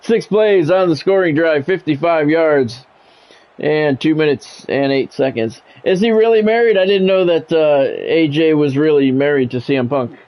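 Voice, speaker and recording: A man's voice: on the high side, moderate pace, a little mellow. An American man doing live play-by-play of a football video game in short sportscaster phrases, chatting casually with stream viewers. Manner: radio-style sportscaster calls in short clipped phrases. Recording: dull lo-fi mic with background music. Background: music.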